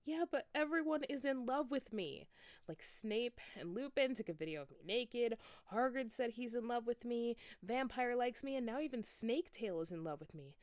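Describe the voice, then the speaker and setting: Lady doing a whiny goth teen girl, high and shrieky, super dramatic, dry close sound. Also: expressive, normal speed, no room sound, lightly acted. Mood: whiny outrage. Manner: shrill, overwrought, swearing outbursts. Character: melodramatic teen goth girl heroine, vain and weepy.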